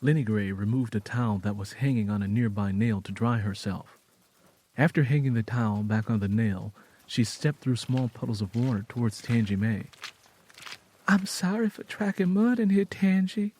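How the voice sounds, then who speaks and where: A man narrating an audio drama in a measured, solemn, dramatic storytelling style. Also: lowish voice, warm tone.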